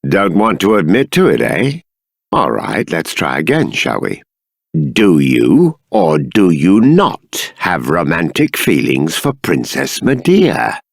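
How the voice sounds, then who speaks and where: A man's voice: low-pitched, huge pitch swings, slow, creaky, no echo, clean studio sound, full character voice. An actor playing a stern, dignified middle-aged king in a video game, with formal, regal, emphatic diction. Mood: probing. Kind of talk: dramatic game character dialogue.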